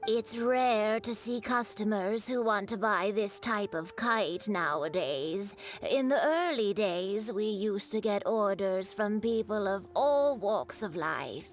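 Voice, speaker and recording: Slow-talking, courteous old craftswoman. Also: mid-range voice, animated. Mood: courteous, proud. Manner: formal, measured, deliberate. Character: elderly woman kite-maker, proud and courteous craftswoman. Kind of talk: video game voice acting. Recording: game music under the voice.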